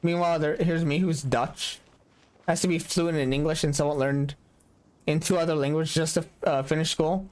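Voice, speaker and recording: A man's voice: on the high side, fairly even intonation, normal speed, a little mellow. A young adult man streaming a game in casual chat, joking about himself and laughing often. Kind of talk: casual live-stream chat while gaming.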